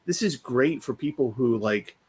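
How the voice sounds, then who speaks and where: Animated man chatting over background noise. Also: medium pitch, normal speed. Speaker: podcast co-host. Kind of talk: casual chat. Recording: clearly noisy background.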